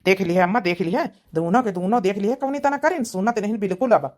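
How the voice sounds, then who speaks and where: A woman's voice: medium pitch, fast talker, a little mellow, lightly acted. A woman voicing an indignant grown village son in a comedy cartoon, scolding and arguing in an Awadhi accent. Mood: angry. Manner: scolding, argumentative. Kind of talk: comedy cartoon family quarrel.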